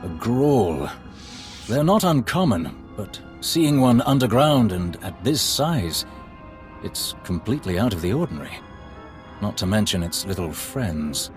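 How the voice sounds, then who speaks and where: A measured Imperial Sith lord. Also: male voice, on the low side, very animated, over music. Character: loyal, analytical male Imperial Sith lord.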